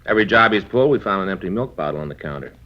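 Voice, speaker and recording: Low, quick-spoken detective partner. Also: male voice, expressive. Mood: explaining. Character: plainspoken, mild male police detective partner. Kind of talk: 1950s radio police drama acting. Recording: dull vintage radio recording.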